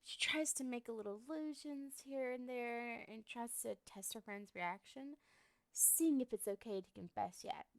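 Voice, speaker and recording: A woman's voice: fairly high pitch, moderate pace, no echo, natural voice. A woman playing a lovestruck, obsessive young woman in an ASMR roleplay, talking to the listener in a coy, rambling, hesitant way. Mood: lovesick, exasperated.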